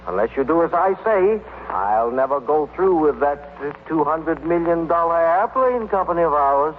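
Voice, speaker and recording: Thin old-radio actor as an exasperated, animated lawyer. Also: male voice, fairly high pitch, normal speed, natural voice. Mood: firm, coaxing. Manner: patient, firm, placating. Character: exasperated, humouring family lawyer, a man. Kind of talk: 1930s radio comedy-drama acting. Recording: tinny, hissy old radio broadcast.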